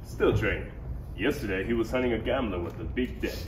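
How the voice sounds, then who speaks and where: An echoing room with faint noise carries a man acting an armored bounty hunter father in a sci-fi parody. His low voice is cool and boastful, a father worn thin by unruly kids, and it moves up and down with lively range. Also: brisk, lightly acted. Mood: easygoing, proud. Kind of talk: live table read of parody script. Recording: echoey room, distant mic, faint noise.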